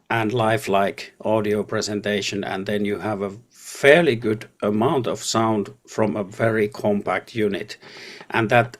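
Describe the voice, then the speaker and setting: A man answering interview questions as an audio product specialist, speaking haltingly and often repeating words. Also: fairly low pitch.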